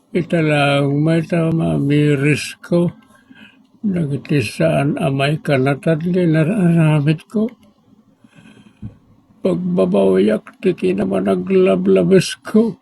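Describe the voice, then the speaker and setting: A man playing a sick, abandoned engineer in a wheelchair, speaking haltingly and with remorse in an Ilocano melodrama. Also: fairly high pitch, gravelly, warm tone, husky, exaggerated voice, poor audio. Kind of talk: Ilocano radio-style melodrama.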